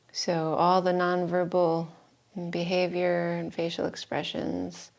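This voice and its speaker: A woman yoga teacher giving guided meditation and breathing instructions in an unhurried, soothing manner with long pauses. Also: lowish voice, gravelly. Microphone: live video call stream.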